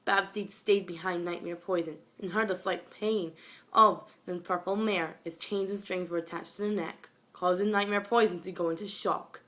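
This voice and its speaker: Bright-voiced young woman reading a story. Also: on the low side, steady tone, normal speed. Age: young, school age. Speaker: fan fiction reader. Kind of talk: amateur story narration. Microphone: phone.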